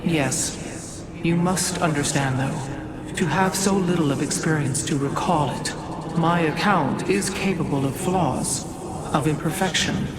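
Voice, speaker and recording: A woman voicing a solemn, learned alien keeper of history in a game cutscene, measured, formal and contemplative. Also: deep voice, very noisy. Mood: wistful, uncertain. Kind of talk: dramatic scripted game cutscene acting.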